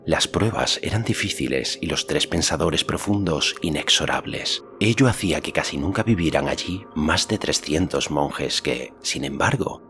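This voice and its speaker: A man's voice: rumbling low, fairly bright tone. A man reading a fantasy story as an audiobook narrator, in a scripted narration style. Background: faint music.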